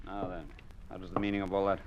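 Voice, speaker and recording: An actor playing a stern, coercive judge at a show trial in an old radio drama, with a formal, commanding delivery. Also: male voice, sits low, completely flat delivery, fast, dull audio. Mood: angry, threatening. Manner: formal, commanding, declamatory in court. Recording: noisy old radio recording.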